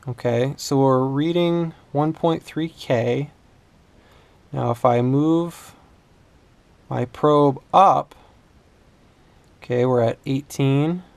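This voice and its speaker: A man giving an unscripted hands-on demonstration as a repair instructor, thinking aloud in a halting way. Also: medium pitch, gravelly, a little mellow, no echo. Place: indoors at a workbench.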